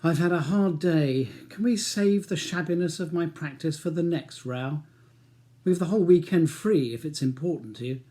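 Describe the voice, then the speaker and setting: A man's voice: a little high, normal speed, dark tone, voice pushed up, natural voice. A man reading an audiobook as a weary, defensive barrister husband with a wry, ironic manner. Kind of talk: audiobook character dialogue.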